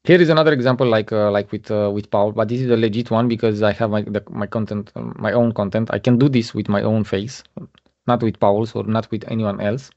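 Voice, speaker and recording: Halting man presenting over a muffled call. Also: on the low side, fairly even intonation, darkish tone. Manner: halting, many fillers and restarts. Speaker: guest speaker. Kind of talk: webinar presentation. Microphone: video call. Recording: muffled lo-fi online call audio.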